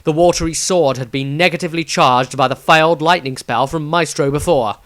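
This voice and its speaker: A man's voice: fairly high pitch, brisk, fairly bright tone, no echo. Man narrating fantasy, close dry sound. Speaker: author reading his own story. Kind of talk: scripted fantasy audiobook narration.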